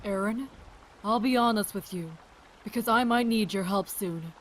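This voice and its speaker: A woman's voice: mid-range voice, normal speed, slight background noise, lightly acted, low-quality recording. A woman playing a friendly, curious doctor in an audio drama, chatty and reassuring. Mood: earnest, concerned. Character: friendly, curious adult doctor and virus researcher.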